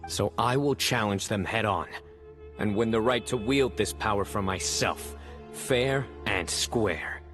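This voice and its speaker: An actor playing a stoic, resolute young guardian in a video game, speaking gravely and deliberately with formal phrasing. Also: male voice, on the low side, a bit bright. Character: stoic, resolute young male desert guardian with inner power. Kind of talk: video game voice acting, measured dramatic dialogue. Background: game music.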